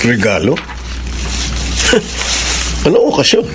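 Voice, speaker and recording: A middle-aged man playing a successful married man in a radio drama, speaking in a measured, gentle way. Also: medium pitch, huge pitch swings. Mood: surprised. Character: successful married man, kind to his lover. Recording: noisy radio drama recording.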